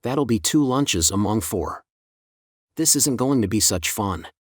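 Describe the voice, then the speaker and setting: A man plays a decisive, hearty schoolboy in a storybook audio drama. The delivery is emphatic and very lively in pitch. The sound is dry and close. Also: unhurried, no echo. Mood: practical. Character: schoolboy Peter, hearty, decisive eldest brother.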